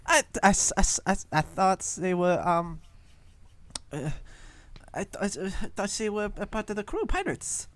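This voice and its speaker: A flamboyant snake creature, performed by a man for a tabletop game. It is easily flustered and stammers through effusive apologies, with the pitch lifted well up. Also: sing-song, measured pace.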